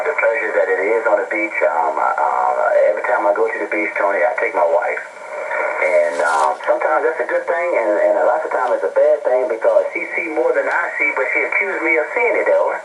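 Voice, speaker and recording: A man's voice: mid-range voice, lo-fi sound. Rambling folksy man over noisy radio. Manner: folksy, rambling storyteller with repeated phrases. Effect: two-way radio transmission. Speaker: amateur radio operator. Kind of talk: casual radio chat with call signs and sign-offs. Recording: noisy shortwave radio transmission with static.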